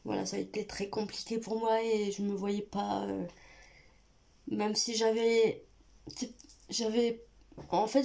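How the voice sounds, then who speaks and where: A young woman talks straight to camera, her nose blocked up with a cold. She speaks at a middling pitch in a flat, unvaried line, stopping and restarting often as she finds her words. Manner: hesitant, many fillers and restarts, speaks straight to viewers. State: stuffy-nosed with a cold. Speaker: vlogger. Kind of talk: personal confessional vlog talk.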